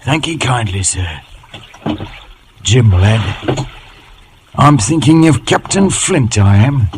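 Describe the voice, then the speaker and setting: An actor playing a wily, wheedling one-legged old pirate with a stage West Country accent in vintage radio drama style. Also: male voice, mid-range voice, expressive, takes it slowly. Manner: wheedling, mock-deferential, swaggering. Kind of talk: 1930s-40s radio acting. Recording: noisy old radio broadcast recording.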